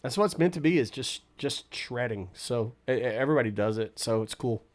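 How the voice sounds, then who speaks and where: A man hosting a casual livestream, answering chat in a rambling, relaxed way with a stuffy nose and lots of fillers. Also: mid-range voice, brisk.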